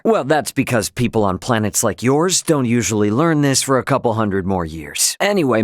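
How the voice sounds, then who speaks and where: A young starship captain from a video game, brash and easygoing with a stubborn streak. His mid-pitched delivery is lively and slangy, full of wry asides, in a very dry, close recording. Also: no echo. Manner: casual slangy, wry, loosely drawled asides. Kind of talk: English video game voice acting.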